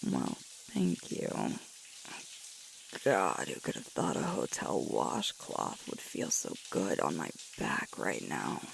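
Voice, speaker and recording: Sweet, weary alpha werewolf girlfriend, female performer for ASMR roleplay: slow, breathy, murmured delivery with very wide pitch swings. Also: huge pitch swings, full character voice. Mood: tender, sore, soothed. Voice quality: breathy, often dropping to a whisper. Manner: halting, intimate, with sighs and murmurs. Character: sweet, playful young alpha werewolf girlfriend, tired and sore. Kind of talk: intimate ASMR audio roleplay storytelling. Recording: loud hissing shower water throughout. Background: running shower water.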